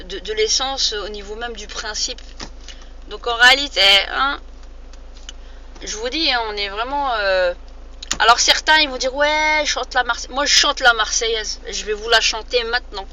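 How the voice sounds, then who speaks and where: A woman, giving an informal, emphatic opinion monologue to viewers, often halting and repeating phrases to drive a point home. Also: on the high side, bright, forceful tone. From France. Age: 40s. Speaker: vlogger addressing viewers. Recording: muffled lo-fi audio with faint noise.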